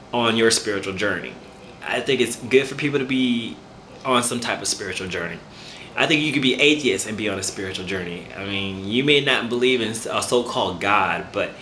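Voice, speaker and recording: A man's voice: mid-range voice, sharp tone, reverberant, a little hiss or hum. A man giving an earnest, encouraging talk to camera, repeating words as he thinks. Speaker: spiritual vlogger.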